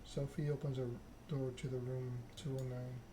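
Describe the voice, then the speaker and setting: A man softly narrating a story game in ASMR style, reading in a measured, deliberate way. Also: medium pitch, level tone. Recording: clearly noisy background ambience.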